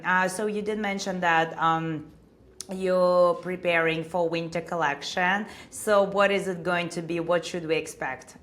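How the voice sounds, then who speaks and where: Woman host, low-leaning voice, casual questions with restarts. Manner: warm affirmations, stumbling restarts. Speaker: interviewer, host.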